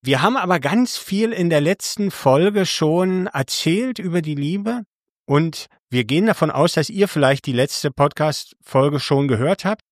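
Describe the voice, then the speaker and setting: A man's voice: on the high side, animated, measured pace, dry recording, clean studio sound. A man co-hosting a casual podcast, who rambles and corrects himself mid-sentence. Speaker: podcast co-host. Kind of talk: casual chat.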